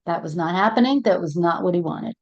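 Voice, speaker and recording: A woman's voice: on the low side, quick pace. A middle-aged woman with a Brooklyn accent, a co-host and end-of-life doula, speaking earnestly in a casual conversation and repeating words as she goes. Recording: dull lo-fi audio.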